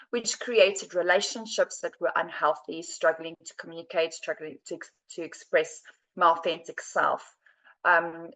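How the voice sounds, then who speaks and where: A South African woman in her forties speaks in a fairly low voice. She is a podcast guest telling her life story with earnest, halting phrasing and plenty of hesitations. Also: gravelly, fairly bright tone, rough recording. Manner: earnest and searching for words, frequent hesitations. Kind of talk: personal storytelling in an interview.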